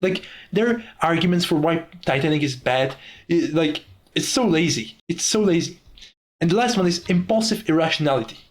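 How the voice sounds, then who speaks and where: Young czech guy, english not his first language, swears a lot while ranting, kinda high voice. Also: normal speed. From Czech Republic. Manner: profane, ranting, laughs often. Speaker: YouTuber. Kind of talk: casual monologue to camera.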